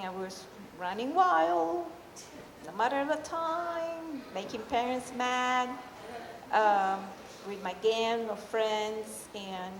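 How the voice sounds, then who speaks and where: A middle-aged woman from Peru with a Peruvian Spanish accent tells a personal story live, in an informal, halting way. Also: a little high. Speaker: amateur storyteller. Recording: echoey hall, faint background noise.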